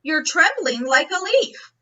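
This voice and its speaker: A woman's voice: very high-pitched, big pitch swings, a little slow, bright, forceful tone, lo-fi sound, exaggerated voice. A woman acting a brash, folksy police captain in an old-time radio play, slyly probing with mock-casual questions. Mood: pressing, pouncing. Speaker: actor in an amateur radio play.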